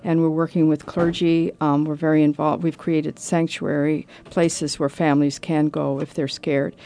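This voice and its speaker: A woman's voice: deep voice, level tone, moderate pace, dark tone. A woman from Canada, a guest in an interview, talking earnestly about her work. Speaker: guest, communications director. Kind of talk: casual interview.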